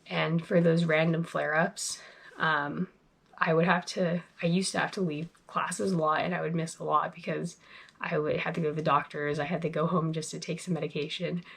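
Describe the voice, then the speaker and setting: A young woman talking casually to her channel, sniffly from a cold, chatty and relaxed with plenty of fillers. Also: sits low, normal speed, creaky, slightly rough. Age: young adult. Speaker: vlogger. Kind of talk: casual talk to camera.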